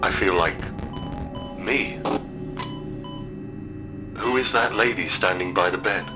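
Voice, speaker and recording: A man's voice: sits low, takes it slowly, music in the background. A man voicing the bewildered mind of an old man now living inside a machine, asking questions. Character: confused old man's mind uploaded into a machine.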